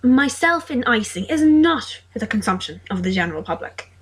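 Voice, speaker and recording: A sardonic teenage schoolboy, played by a woman, fairly high in pitch and husky. He banters in a dry, mock-stern manner suited to an amateur audio drama. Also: sing-song, moderate pace, poor audio.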